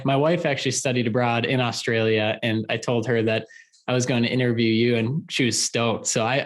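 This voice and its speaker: A man hosting a construction podcast, enthusiastic and conversational, often repeating words as he starts his sentences. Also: mid-range voice. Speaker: podcast host. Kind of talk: upbeat interview hosting.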